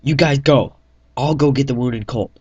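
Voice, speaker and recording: A man voicing a brave pony soldier giving urgent, forceful battlefield orders in an amateur fan fiction reading. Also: mid-range voice, moderate pace, slightly rough, voice pushed up, lightly acted. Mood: urgent, determined. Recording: dull lo-fi mic in echoey room.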